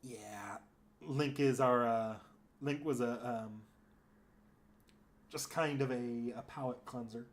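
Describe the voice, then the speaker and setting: A man's voice: medium pitch, takes it slowly, pauses often. A man hosting a casual livestream, chatting with viewers in a rambling way, thinking aloud and often correcting himself. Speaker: streamer and host.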